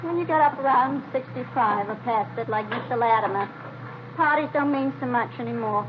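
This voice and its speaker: A woman's voice: fairly high pitch, brisk, lightly acted. Actress as a grumbling, lonely older woman, noisy old radio. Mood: chatty, wistful. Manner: chatty, matter-of-fact, complaining. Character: lonely, plain-spoken older woman neighbor, wistful and grumbling. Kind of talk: 1940s-50s radio crime drama acting. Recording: noisy, dull vintage radio recording.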